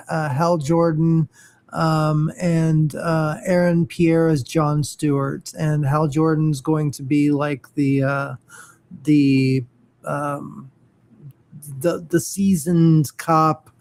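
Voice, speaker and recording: A man talking slowly and haltingly in a casual online chat, his voice set a little high but barely moving in pitch, and he keeps stumbling over his words with lots of ums and restarts as he works through his thoughts. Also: a little mellow. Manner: halting, many fillers and restarts.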